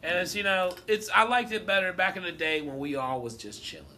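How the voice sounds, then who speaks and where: A man's voice: high voice, brisk, pushed and bright, slight background noise. A man on a podcast panel trading casual, profane comedic banter.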